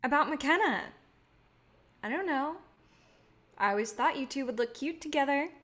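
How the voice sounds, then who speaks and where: A woman's voice: high voice, animated, normal speed, a bit bright. A woman playing an idealistic, patient filmmaker in a staged play reading, speaking in an earnest, coaxing way. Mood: probing.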